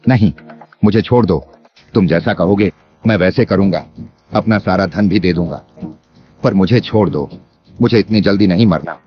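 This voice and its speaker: An actor playing a greedy, cowardly landlord villain in an animated moral story, exaggerated and theatrical. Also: male voice, sits low, moderate pace, long pauses, close to an everyday voice. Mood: terrified, pleading. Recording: dull lo-fi audio. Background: music.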